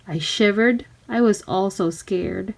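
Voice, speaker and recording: A woman narrating a children's storybook aloud in expressive, clearly separated phrases. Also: mid-range voice, takes it slowly, a little hiss or hum. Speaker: storybook reader.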